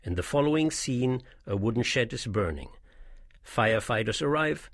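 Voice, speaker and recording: A man's voice: mid-range voice, lively intonation, moderate pace, no echo, clean studio sound. A man narrating an audio tour in a scripted, explanatory style.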